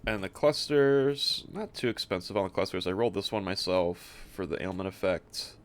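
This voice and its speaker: Guy with a lowish voice doing laid-out game build commentary, offhand, kinda thinking out loud. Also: normal speed. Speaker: streamer showing a game character build. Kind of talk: casual gameplay commentary.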